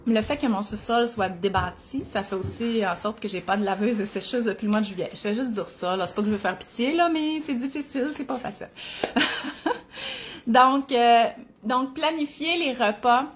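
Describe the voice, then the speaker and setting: A woman's voice: fairly high pitch, moderate pace, background noise. A woman in her forties with a Quebec French accent, chatting casually to camera as a mom and nutrition author, talking in an easy flow with tag questions and little laughs. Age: 40s.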